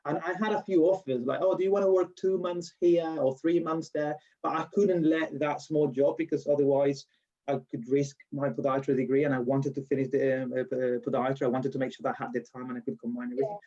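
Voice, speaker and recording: Guy w a strong spanish accent, mellow, rambling story, kinda dull lo-fi recording. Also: mid-range voice, steady tone, fast talker. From Spain. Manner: chatty storytelling, frequent restarts and laughs. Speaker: lecturer being interviewed. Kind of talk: casual interview.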